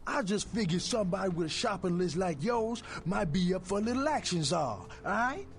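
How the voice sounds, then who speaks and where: A man voicing a fast-talking, sleazy, heavyset street fence in a video game, full of slangy hustler patter. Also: high-pitched, expressive, soft background music. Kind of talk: video game character dialogue.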